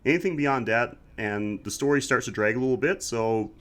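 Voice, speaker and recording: A man with a stuffy, slightly scratchy voice, chatting easily as a podcast co-host. He talks at length and runs through prepared facts in a relaxed way. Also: medium pitch, moderate pace. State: congested, slightly scratchy throat. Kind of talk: casual podcast chat.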